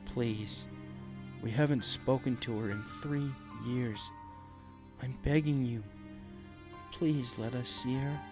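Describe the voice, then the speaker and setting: A man reads a pegasus father in a storybook. He is medium-pitched, earnest and wounded, pleading with restraint over faint music. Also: slow, close to an everyday voice. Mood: begging. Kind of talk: storybook character voice.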